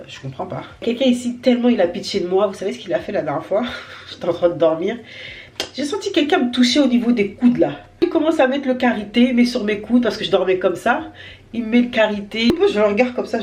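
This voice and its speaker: A woman's voice: mid-range voice, lively intonation, a little fast, a little mellow, slight background noise. A young woman with a cold chatting casually to her vlog audience, candid and slangy, grumbling and poking fun at herself. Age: young adult. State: has a cold. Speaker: vlogger.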